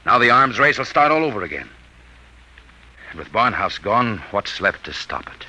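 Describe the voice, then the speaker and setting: A man's voice: on the low side, sing-song, moderate pace, bright, forceful tone, slightly rough, full character voice. An actor playing an urgent military man in a 1950s radio drama, speaking in emphatic, melodramatic, clipped lines. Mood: bitter, defeated. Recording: very noisy vintage radio drama recording.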